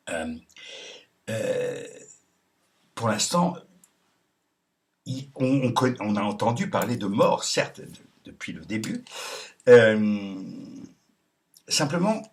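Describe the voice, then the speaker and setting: A man with a slightly low voice talks through a casual monologue, reading out messages and commenting on them. He sounds sardonic and chuckles often between remarks. His talk is hesitant, full of ums and restarts. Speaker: video commentator.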